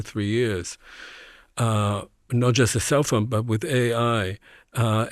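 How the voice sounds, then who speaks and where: A man's voice: mid-range voice, no echo, clean studio sound. An older man, a professor speaking on a debate panel, reflective and hesitant with many fillers and restarts. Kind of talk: panel discussion.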